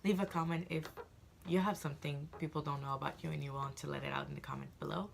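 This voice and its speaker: A woman's voice: low-pitched, fairly even intonation, fast talker, vocal fry, a little hiss or hum. A woman in her twenties from Egypt, chatting casually to camera as a vlogger, rambling with frequent fillers and restarts.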